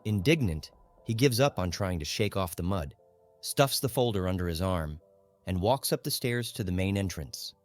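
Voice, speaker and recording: Low-voiced guy reading a novel dramatically, music playing underneath. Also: lively intonation, normal speed, no room sound. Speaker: audiobook narrator. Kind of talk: scripted dramatic literary narration.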